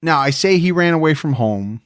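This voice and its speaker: A man's voice: on the high side, normal speed, no echo. Man hosting true crime podcast, dry sound. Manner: relaxed, friendly storytelling. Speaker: podcast host.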